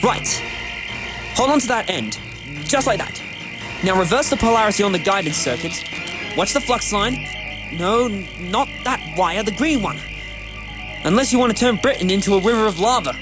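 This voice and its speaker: An actor playing an eccentric, clever time-travelling adventurer in a fan audio drama, theatrical, with musing hesitations. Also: male voice, sits high, lively intonation, moderate pace, pauses often, loud music behind the voice, poor audio. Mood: urgent.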